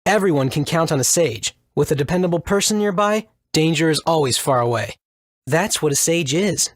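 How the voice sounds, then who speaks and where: A man's voice: fairly high pitch, animated, normal speed, dry recording, studio quality. A man voicing a kindly, thoughtful young mage in a video game, easygoing and reflective. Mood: gently reflective.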